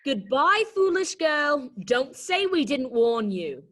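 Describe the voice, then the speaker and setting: Woman playing a snooty, high-voiced flower. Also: sing-song, takes it slowly, fairly bright tone, exaggerated voice. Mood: disdainful. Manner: disdainful, superior. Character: vain, snooty talking garden flower, female. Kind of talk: children's readers' theater read-aloud.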